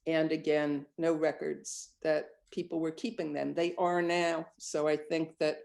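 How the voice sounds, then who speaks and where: An older woman composer answering interview questions thoughtfully, often pausing with ums as she searches for words. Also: low-pitched, level tone, gravelly, darkish tone. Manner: reflective, searching, with frequent ums.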